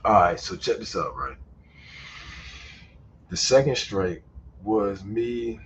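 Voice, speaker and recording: A man's voice: fairly low pitch, animated, slow pace. A man hosting a live stream who talks in a loose, profane, storytelling way and laughs often. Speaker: YouTuber and stream host. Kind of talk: casual chat on a live stream. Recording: muffled sound with faint ambient noise.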